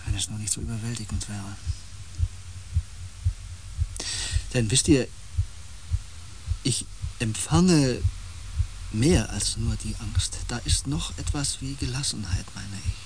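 A man acting as a tormented empath in a science fiction radio play. He is intense and halting, with a mid-pitched voice that can rise in agitation or sink into awe. Faint noise and ambience sit behind him. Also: lightly acted. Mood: yearning, hushed. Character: tormented, over-sensitive empath on a space expedition, brooding. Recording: noisy with ambient sound.

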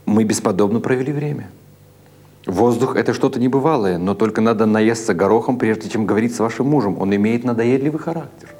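Man voicing a sly, breezy gangster, lowish voice. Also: quick pace, darkish tone, close to an everyday voice. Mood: mock-courteous. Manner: florid, ornate colloquial politeness. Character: breezy, mock-courteous male gangster, talkative and sly.

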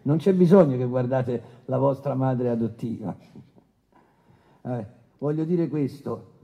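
A man acting a brusque, paternalistic presiding judge who questions haltingly and interrupts impatiently in a courtroom radio drama. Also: medium pitch, vocal fry, lo-fi sound. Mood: brusque, condescending. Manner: interrupting, hesitant filler-laden questioning, impatient.

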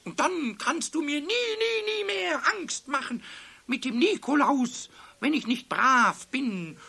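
Little boastful kobold, very high squeaky voice, gravelly creak, childlike, cheeky, singsong, children's radio drama. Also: male voice, takes it slowly, exaggerated voice. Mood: defiant. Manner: boastful, excitable, stammering, breaks into singsong rhymes. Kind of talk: 1970s German children's radio play acting.

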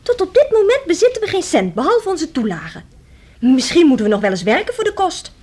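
A woman's voice: extremely high pitch, sing-song, brisk, darkish tone. A woman playing a cheeky, restless young woman in a radio drama, with a teasing, theatrical manner. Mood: flippant.

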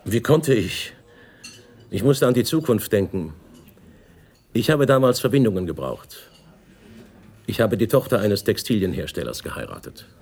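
A man's voice: on the low side, big pitch swings, normal speed, long pauses, a little mellow. An actor playing a wary older Italian businessman and protective father in a radio play, guarded and probing with short questions. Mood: defensive.

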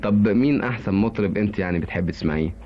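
A man with an Egyptian Arabic accent interviewing a guest on 1950s radio, asking brisk, friendly questions. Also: lowish voice, slight background noise. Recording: dull 1950s radio archive.

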